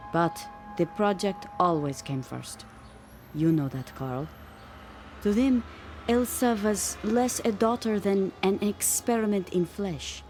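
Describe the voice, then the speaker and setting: An actress with a German accent playing a gentle, wise professor's wife in a radio drama. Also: female voice, on the low side.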